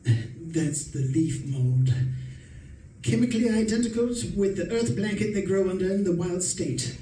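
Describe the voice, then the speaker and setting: A man's voice: high voice, unhurried, mellow, a little hiss or hum. A man playing a mild, put-upon middle-aged professor and husband in an old-time radio suspense play. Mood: mild, evasive. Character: meek, henpecked middle-aged professor husband, quietly resentful. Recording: roomy, distant mic.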